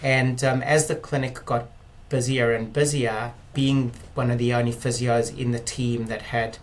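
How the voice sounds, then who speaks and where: A South African man in his fifties, a physiotherapist guest in an interview, explaining in a measured way with frequent ums and restarts. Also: mid-range voice, level tone. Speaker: guest, clinic director. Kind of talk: interview talk.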